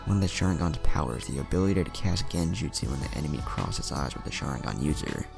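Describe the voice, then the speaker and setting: A man voicing a calm, analytical ninja teacher in an anime fan story, explaining things in a measured way. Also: extremely low pitch, vocal fry, over music, full character voice.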